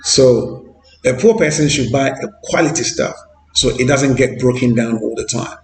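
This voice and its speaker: Ghanaian man, street vlogger, earnest and rambling, gravelly tone, echoey outdoor sound. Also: mid-range voice, normal speed, a little hiss or hum. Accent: Ghanaian English. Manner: earnest, repetitive, with fillers. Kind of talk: walking street vlog.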